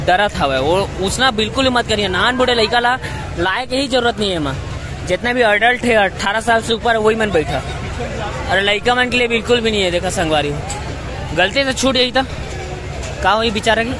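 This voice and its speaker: Young male vlogger, Chhattisgarhi accent, very high-pitched, excitable and loud, phone mic in a roaring fair crowd. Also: a little fast, noisy background. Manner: excitable, loud, calls out to friends. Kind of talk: excited casual vlog at a fair. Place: crowded outdoor night fair. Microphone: handheld phone camera.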